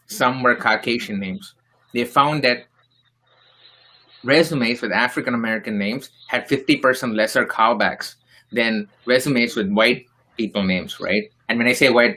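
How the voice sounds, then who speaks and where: Bright-voiced man from India, earnest panelist. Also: mid-range voice, steady tone, normal speed. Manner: earnest, explains with examples and asides. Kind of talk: roundtable discussion.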